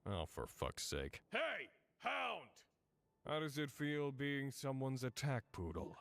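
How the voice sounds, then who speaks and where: Theatrical smug archer, swooping delivery. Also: male voice, medium pitch, huge pitch swings, takes it slowly, creaky, background noise, exaggerated voice. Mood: taunting. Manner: mocking, theatrical baby-talk taunts. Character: sarcastic, taunting heroic male archer, theatrical and smug. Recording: music behind the voice.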